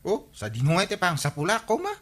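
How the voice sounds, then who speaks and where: A man with an Ilocano accent playing an animated villager in radio comedy drama dialogue. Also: sits high, fast talker, full character voice.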